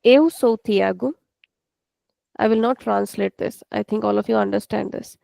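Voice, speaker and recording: A woman's voice: medium pitch, fairly even intonation, normal speed, vocal fry, mellow, no echo. A woman with an Indian English accent teaching an online language class, explaining patiently and repeating each point step by step.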